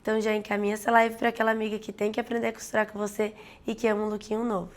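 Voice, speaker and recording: A woman, hoarse and losing her voice, hosts a live sewing tutorial, explaining steps and checking in with her viewers. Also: medium pitch, completely flat delivery, racing. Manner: explanatory, with frequent "tá?" and "né?" check-ins. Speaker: sewing instructor and host.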